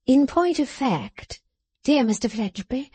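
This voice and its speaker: Female reader playing a scheming wife who gushes; slow, mellow, pleading lines. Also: high voice, lively intonation, husky, no room sound, voice pushed up, heavily acted. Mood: confiding. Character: gushing, scheming society wife, adult woman. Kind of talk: audiobook character voice.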